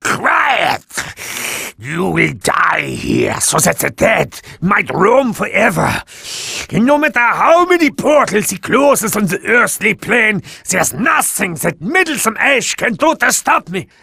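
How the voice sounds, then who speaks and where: Mad doctor, game villain, raspy, grandiose, slow-paced, theatrical. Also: male voice, high-pitched, big pitch swings, no room sound, full character voice. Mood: furious, grandiose. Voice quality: gravelly, raspy. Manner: theatrical, swinging from murmurs to shouts. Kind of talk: video game villain acting.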